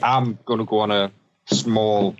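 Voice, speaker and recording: A man from England talks calmly on a podcast, laying out his views in a measured, reflective way. Faint noise sits behind his voice. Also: lowish voice, highs cut off. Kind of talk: casual chat.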